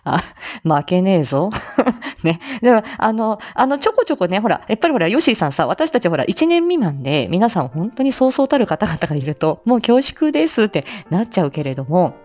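A woman's voice: medium pitch, sing-song, fairly quick, darkish tone. A woman hosting a casual livestream, talking in a humble, effusive and playfully feisty way. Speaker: livestream host, amateur voice actor.

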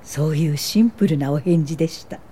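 A woman's voice: sits low, a little fast, dark tone, a little hiss or hum, close to an everyday voice. An older woman acting as an earnest social worker who narrates her own life in a radio drama. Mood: reflective. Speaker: narrator and lead actor.

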